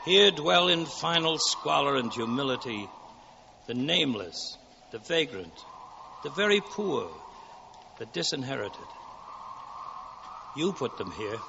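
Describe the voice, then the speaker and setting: An actor playing a solemn, commanding guardian angel in theatrical 1940s radio drama style. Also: male voice, mid-range voice, big pitch swings, a little slow, gravelly, pauses often. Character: stern guardian angel showing a grim vision. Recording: noisy, dull 1949 radio recording.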